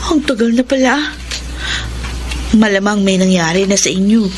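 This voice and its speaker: A woman's voice: mid-range voice, moderate pace, background noise, close to an everyday voice. A Filipino actress playing a nosy, easily shocked female confidante in a radio drama, exclamatory and gossipy. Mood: shocked, prying.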